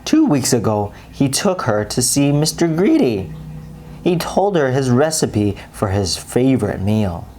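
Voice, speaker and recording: A woman narrating a children's bedtime story expressively, with dramatic pauses and deliberate emphasis. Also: a bass voice, big pitch swings, dark tone.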